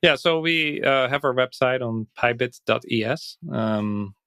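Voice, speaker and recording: A Dutch man, a native Dutch speaker, talking in English as a podcast guest, reflective and thinking aloud with soft laughs. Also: mid-range voice, a little slow, smooth, no echo, clean studio sound. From Netherlands. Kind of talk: casual interview chat.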